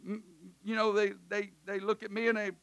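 A preacher with a loud, high-pitched voice that cuts bright and sharp through the sanctuary. He swings from fervent shouting down to soft, offhand remarks. His is easy to hear in his casual phrasing. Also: completely flat delivery, fairly quick, smooth. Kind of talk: sermon in church service. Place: church sanctuary.

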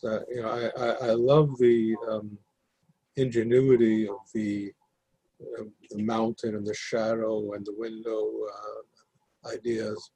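A man in a video-call discussion, asking thoughtful questions with many pauses and fillers. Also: on the low side, flat delivery, dark tone, slightly rough, no echo, low-quality recording. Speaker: audience member asking questions. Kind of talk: casual group discussion.

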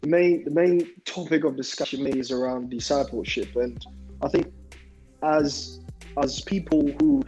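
A man speaking reflectively in a group discussion, deliberate and halting, often repeating words as he builds a point. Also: medium pitch, steady tone, a little mellow, soft background music, rough recording. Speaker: discussion panelist.

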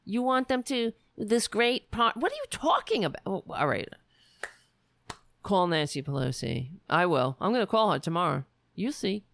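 A woman hosting a political livestream with a worn, raspy voice, talking bluntly and sarcastically while reading the chat. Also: mid-range voice, big pitch swings, normal speed, a bit bright, no echo. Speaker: livestream show host.

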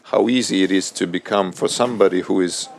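A man in his thirties whose first language is Russian, speaking on a panel at a public event, halting and full of fillers and restarts. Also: low voice, gravelly. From Estonia. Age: 30s. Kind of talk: panel discussion. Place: event hall before an audience.

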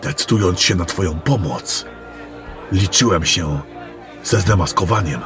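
A man playing a secretive, scholarly adept of magic in a fantasy audio drama, speaking in a formal, measured, courteous way. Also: sits low, lively intonation. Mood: composed. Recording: music and crowd murmur behind.

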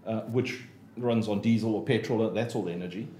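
A man's voice: lowish voice, brisk. South African man, chatty, echoey room. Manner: relaxed, chatty, with frequent ums and laughs. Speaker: interview guest, motivational speaker and trainer. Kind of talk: casual podcast chat. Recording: echoey room, faint noise.

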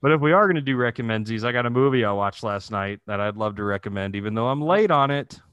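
Male podcaster, 30s, middle pitch, casual and profane joker, dry sound. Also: expressive, fast talker, fairly bright tone, clean tone, dry recording, highs cut off. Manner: profane, jokey storytelling with frequent chuckling. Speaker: podcast co-host. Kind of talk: casual comedic chat.

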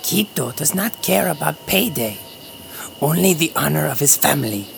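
A man's voice: on the high side, animated, moderate pace. A man voicing a small, proud, distrustful alien warrior with a growling voice and stilted, broken speech, in an audio drama. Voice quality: gravelly, growling. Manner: broken, stilted grammar, speaks of himself in third person. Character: small indignant Ewok warrior, male, proud, vengeful, distrustful. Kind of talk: audio drama character acting. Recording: music playing behind the voice.

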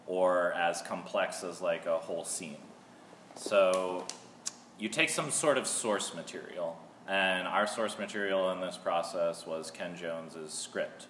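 A man's voice: deep voice, fairly bright tone. An American man casually explaining a creative process as a guest in an interview. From Seattle, United States. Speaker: theater artist, interview guest. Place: artist's studio. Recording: echoey reverberant room, faint noise.

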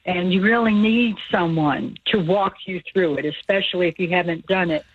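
A woman's voice: on the low side, normal speed, husky. An older woman calling in to a radio show, telling her story in a chatty, easy way. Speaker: caller. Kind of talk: casual phone-in chat. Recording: phone line.

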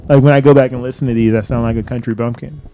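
A man's voice: mid-range voice, fairly even intonation, racing, warm tone. A young man from Texas chatting casually on a podcast, often questioning and giggly. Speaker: podcast co-host. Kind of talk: casual chat.

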